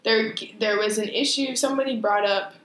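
A young woman co-hosting a casual podcast, talking thoughtfully and measured, often pausing to rephrase. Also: fairly high pitch, steady tone, fairly bright tone. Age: young adult. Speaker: podcast co-host. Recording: echoey room with faint noise.

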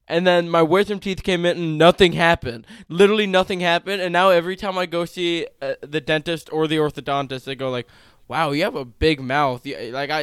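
Lisping young man with a smooth voice. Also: a little high, no room sound, clean studio sound. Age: 20, turning 21 soon. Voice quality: pronounced lisp. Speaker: podcast host. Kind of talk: casual chat.

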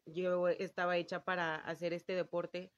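Young Mexican woman, lowish voice, dull sound. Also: level tone, a little slow. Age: young adult. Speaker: athlete being interviewed. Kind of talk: casual interview answers.

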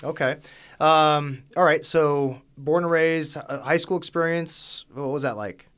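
A man's voice: fairly high pitch, a little slow, a bit bright. Chatty, upbeat man in his forties. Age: 40s. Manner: upbeat, chatty, self-deprecating. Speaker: podcast host. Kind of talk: casual interview chat.

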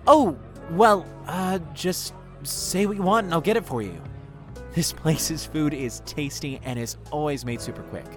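A man playing an earnest, soft-hearted young man in love, in an audio drama. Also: fairly high pitch, animated, unhurried, soft background music. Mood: concerned. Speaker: voice actor.

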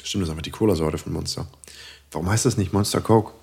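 A man's voice: low voice, fast, vocal fry, a little mellow. A man, a guest in casual podcast banter, talking in a playful, wry, colloquial way.

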